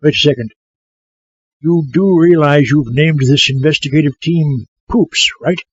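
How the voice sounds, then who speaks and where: A man's voice: on the high side, a little slow, muffled recording, natural voice. A man playing a petulant, gleefully morbid mad scientist in a comedy audio drama, fussy and rambling.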